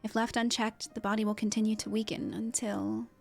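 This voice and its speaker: A woman voicing a concerned game character, reading grave dialogue in a measured, serious and sympathetic manner. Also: medium pitch, little pitch movement, creaky, darkish tone, soft background music, natural voice.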